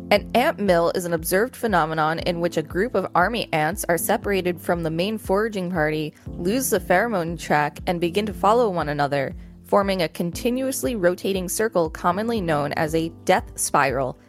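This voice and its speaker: A woman with a slightly low voice hosts a podcast, moving between reading reference text and casual remarks. Her pace is ordinary, and soft music plays behind her. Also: moderate pace. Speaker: podcast co-host. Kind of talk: reading an encyclopedia article aloud with casual commentary.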